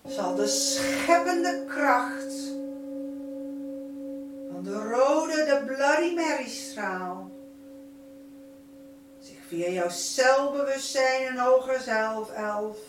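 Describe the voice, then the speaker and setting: Female spiritual guide, slow and solemn, chant-like repetitions, recorded at some distance from the mic. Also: sits high, expressive. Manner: solemn, incantatory, drawn-out phrasing with repetitions. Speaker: spiritual teacher leading a light-circle meditation. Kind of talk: spiritual guided meditation talk. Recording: roomy, distant microphone, noisy background.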